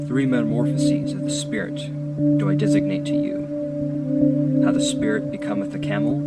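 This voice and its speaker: A man giving a solemn, measured literary reading in a declamatory, rhetorical manner, as an audiobook narrator. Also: on the low side. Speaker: audiobook reader. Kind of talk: solemn literary reading of archaic philosophical text. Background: music and sound design behind the voice.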